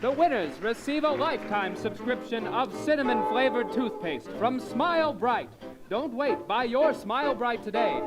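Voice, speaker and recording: A man playing a chipper 1940s radio show co-host and eager pitchman. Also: exaggerated voice, rough recording. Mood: eager salesman. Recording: music behind the voice. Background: music.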